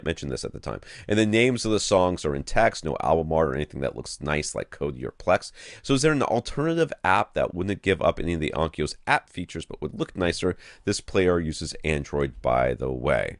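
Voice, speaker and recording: Deep gravelly podcast host. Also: male voice, big pitch swings, fairly quick, dry recording, studio quality. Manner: rambling, self-interrupting, informal. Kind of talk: casual tech talk, reading out listener questions.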